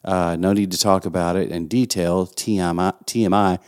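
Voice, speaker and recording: A man's voice: low-pitched, steady tone, normal speed, clean tone. A middle-aged man with a West Texas accent, a pastor co-hosting a podcast in joking, playful casual chat. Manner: jokey, playful banter.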